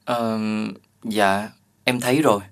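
An actor playing a humble, honest young younger brother in an animated fairy tale, speaking politely and earnestly. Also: male voice, mid-range voice, takes it slowly. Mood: polite, modest.

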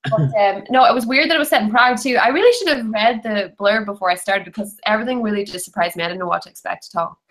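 A woman's voice: mid-range voice, fast talker, few pauses, fairly bright tone, low-quality recording. A woman, chatting frankly and casually about books, with lots of filler "like". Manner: chatty, frank, uses "like" often. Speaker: book club panelist. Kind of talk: casual book discussion. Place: bedroom, indoors, on a video call. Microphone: computer mic on a video call.

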